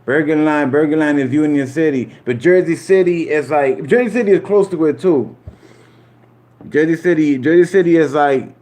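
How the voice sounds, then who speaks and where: A man's voice: on the high side, darkish tone. A man from Puerto Rico chatting loosely with his live audience in street slang, repeating himself and tossing in "you feel me" asides. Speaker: streamer talking to live chat. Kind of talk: casual rambling commentary.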